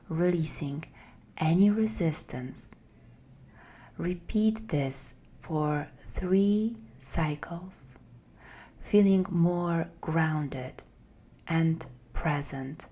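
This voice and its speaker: A woman guiding a meditation in a soft, breathy, half-whispered voice, gentle and soothing with long pauses. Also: low-pitched. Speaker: meditation guide. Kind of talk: guided meditation, slow scripted narration.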